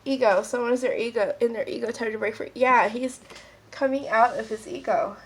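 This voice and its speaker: A woman's voice: on the high side, steady tone, fairly quick, breathy edge. Stuffed-up woman reading tarot cards. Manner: casual, rambling, intuitive. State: stuffed-up nose, sniffling. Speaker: tarot card reader. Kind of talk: pick-a-card tarot reading.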